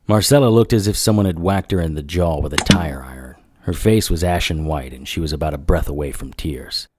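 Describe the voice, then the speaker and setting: An actor playing a wry, hard-boiled monster hunter in a noir audio drama. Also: male voice, very deep voice, a little fast, background noise. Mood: grim narration. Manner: wry, sardonic. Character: cynical hard-boiled male monster hunter, wisecracking and crude. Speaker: narrator and lead actor.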